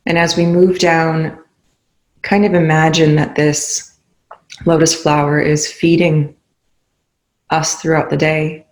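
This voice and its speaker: A meditation guide leading a session in a soothing, unhurried manner with long pauses between phrases. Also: medium pitch, flat delivery. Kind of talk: guided meditation, spoken slowly.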